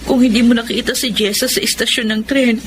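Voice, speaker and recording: A woman's voice: on the high side, fairly even intonation, a little fast, heavy background noise, lightly acted. A woman with a Filipino accent playing an anguished, protective young eldest daughter in a radio drama. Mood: worried.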